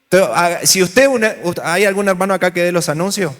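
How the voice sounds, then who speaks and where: A man's voice: high-pitched, a little fast, fairly bright tone. Argentine man teaching church workshop. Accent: Argentine Spanish. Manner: humorous, storytelling, asks the audience questions. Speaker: pastor leading a church workshop. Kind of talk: lively workshop talk to a congregation. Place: large hall with an audience. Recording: hall reverb.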